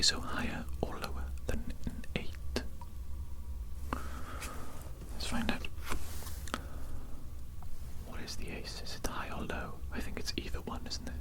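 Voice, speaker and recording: An ASMR performer in a roleplay, whispering close to the microphone and softly repeating phrases. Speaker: ASMR performer playing an experimenting doctor.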